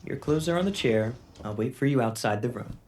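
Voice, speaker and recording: Man as a young male agent, polite, reassuring, audio drama dialogue, swinging expressive pitch. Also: fairly quick, slight background noise, lightly acted. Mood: calm, reassuring.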